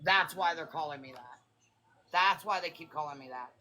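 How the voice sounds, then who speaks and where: Raspy-voiced woman, bright and blunt. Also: deep voice, normal speed. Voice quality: raspy smoker's voice. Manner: defensive, blunt. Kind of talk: heated live chat.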